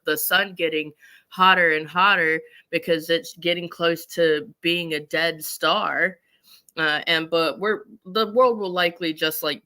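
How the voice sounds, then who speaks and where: A bright, smooth mid-pitched voice in casual conversation on a talk show. The speaker rambles through personal stories and acts out bits of past conversations.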